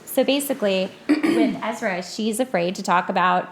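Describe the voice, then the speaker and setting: A woman's voice: medium pitch, lively intonation, normal speed, slight background noise. A woman in her thirties hosting a podcast, chatting casually in a blunt, informal way. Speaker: podcast host. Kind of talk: casual chat.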